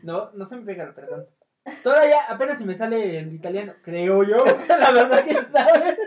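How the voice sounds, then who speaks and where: A woman's voice: mid-range voice, big pitch swings, moderate pace, noisy background. A woman with a Mexican accent chatting informally and playfully as a podcast host, retelling stories and trivia. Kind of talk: casual chat retelling film trivia and tales. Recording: dull, lo-fi audio.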